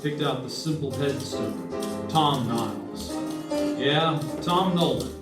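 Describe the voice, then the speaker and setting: A man's voice: fairly high pitch, slow. A man playing a wry, hardboiled investigator, narrating and talking in clipped old-time radio detective style. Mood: wry, dramatic. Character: hardboiled, wry male insurance investigator narrating his case. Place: live stage performance. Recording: echoey live stage, distant mic, music behind. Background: music.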